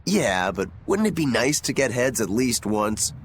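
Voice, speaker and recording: A man's voice: medium pitch, big pitch swings, a little slow, a little hiss or hum. A man playing a cocky, easygoing young swordsman with a playful, persuasive manner in a video game. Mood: playful, proud.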